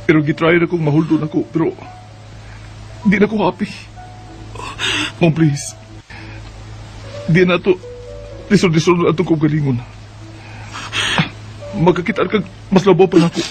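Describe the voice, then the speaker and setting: Actor as apologetic young Bisaya man, highish voice. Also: normal speed, long pauses, darkish tone, faint music behind, natural voice. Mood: regretful. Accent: Filipino, Bisaya. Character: apologetic young boyfriend breaking up, resigned. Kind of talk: Bisaya radio drama acting.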